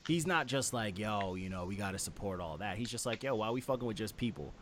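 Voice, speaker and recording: A man's voice: on the low side, expressive, fast talker, fairly bright tone, a little hiss or hum. A man in a casual album review chat, talking as a host in a slangy, profane, enthusiastic way. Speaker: music review show host.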